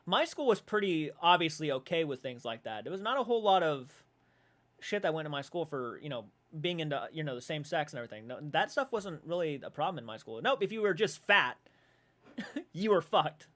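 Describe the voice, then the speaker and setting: A man in his twenties hosting a casual livestream, reading viewer comments aloud and reacting with animated, swearing banter. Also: on the high side, fairly quick. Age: 20s. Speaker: livestream host. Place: dark room at home.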